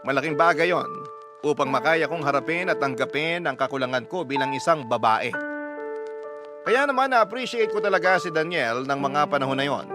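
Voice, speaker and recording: A man narrating a scripted, confessional letter in the first person for a radio story. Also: a little high. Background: background music.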